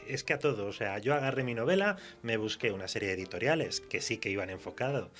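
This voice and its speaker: A man with an accent from Spain chatting casually as a guest writer in a radio interview, telling stories in an animated, self-mocking way. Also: on the low side, fast, faint music behind. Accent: Spanish from Spain.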